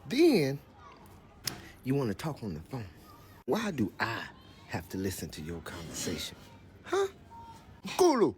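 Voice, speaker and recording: A man's voice: mid-range voice, wildly swooping pitch, a little mellow, a little hiss or hum. A man in a comedic rant, exasperated and drawing out his words for emphasis. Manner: exasperated ranting, drawn-out emphasis. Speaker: creator of a reacted clip.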